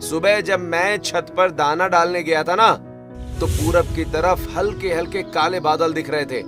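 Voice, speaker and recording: A man's voice: on the high side, fairly even intonation, unhurried, over music, close to an everyday voice. A man voicing a cheerful young farmer brother who teases his family in a cartoon story. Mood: optimistic.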